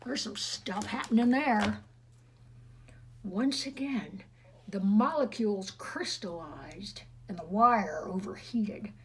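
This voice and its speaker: A woman's voice: mid-range voice, takes it slowly, fairly bright tone, a little hiss or hum. A woman reading a storybook aloud expressively to children, with chatty, teasing asides to her listeners.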